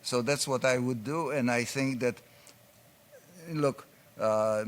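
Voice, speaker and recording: A man's voice: medium pitch, a bit bright. A man presenting at a conference, answering audience questions in an explanatory way with frequent fillers. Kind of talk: conference Q&A talk.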